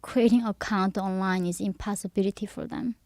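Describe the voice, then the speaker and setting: A young woman from North Korea talks in English with a Korean accent, her phrasing plain and a little non-native. She chats and laughs freely as a podcast guest. Her voice sits at a middling pitch, recorded close and dry. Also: steady tone, a little fast, darkish tone, no echo. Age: young adult. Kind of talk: casual interview talk. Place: podcast studio.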